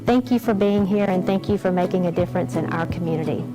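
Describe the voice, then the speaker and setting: A woman's voice: lowish voice, quick pace, over loud music. A woman speaking as a club president and host, delivering a welcome address and announcements to members.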